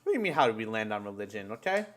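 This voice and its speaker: A man hosting a live stream, chatting casually with friends in an animated, slangy way with lots of swearing. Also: lowish voice, very fast. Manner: animated, heavily profane, slangy. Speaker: live stream podcast host.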